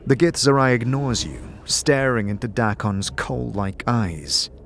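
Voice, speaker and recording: A man's voice: medium pitch, measured pace. A man reading scripted second-person narration for a fantasy audio drama. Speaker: game-style narrator. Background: music.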